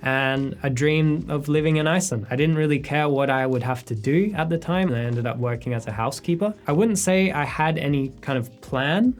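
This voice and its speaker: A man in his thirties, a photographer, answering viewer questions in a relaxed, reflective sit-down chat in his studio. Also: a little high, fairly quick, hardly any pauses, soft background music. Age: 30s. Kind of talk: casual sit-down Q&A. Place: his studio space, indoors.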